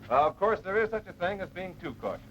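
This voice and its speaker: An actor playing a seasoned, patient air force officer giving measured, authoritative instruction in a 1940s military training film. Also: male voice, high-pitched, very animated, a bit bright. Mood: matter-of-fact. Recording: noisy dull vintage film soundtrack.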